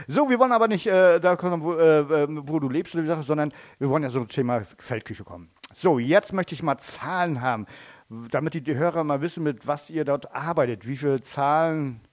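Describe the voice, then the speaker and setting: A man's voice: fairly high pitch, normal speed, no echo. A man hosting a live radio broadcast at an outdoor festival, chatting and joking with guests and using many fillers. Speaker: event radio host. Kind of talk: live on-site interview at a festival.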